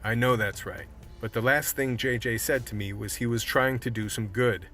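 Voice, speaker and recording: A man's voice: medium pitch, fairly even intonation, normal speed, sharp tone, soft background music, lightly acted. A man playing a determined cop, earnestly persuading his team in a radio play. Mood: earnest, troubled.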